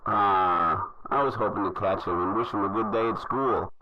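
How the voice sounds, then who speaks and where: A man's voice: fairly low pitch, moderate pace. An actor playing an affectionate, easygoing trucker husband and father in an audio drama, heard through a phone filter. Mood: affectionate, upbeat. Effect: phone or radio filter. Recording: noisy, band-limited phone call from truck.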